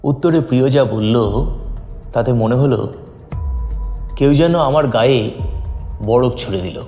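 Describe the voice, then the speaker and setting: A man's voice: medium pitch, unhurried, mellow, soft background music. A man narrating a first-person audio story in a dramatic, scripted storytelling style. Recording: roomy, long reverb.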